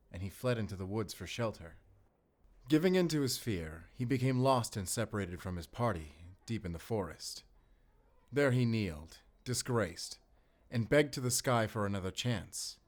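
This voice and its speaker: Man playing a low-voiced, wry monster hunter. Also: natural voice. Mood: hushed storytelling. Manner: soft-spoken teasing, switching between English and German. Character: mysterious German-speaking male werewolf hunter, wry and protective. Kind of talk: cinematic audio roleplay, intimate bedtime storytelling.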